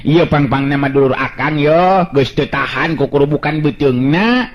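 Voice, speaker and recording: A man's voice: fairly high pitch, lively intonation, measured pace, dull audio. A man with a Sundanese accent telling a folk tale and voicing its hero, chuckling often between lines. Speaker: folk storyteller.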